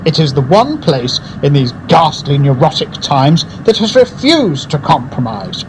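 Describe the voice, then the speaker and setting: A man's voice: fairly high pitch, sing-song, measured pace, background noise. An actor playing an earnest, effusive advertising man and gourmet in a radio drama, speaking in a grandiose, theatrical way. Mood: grandiose, devoted.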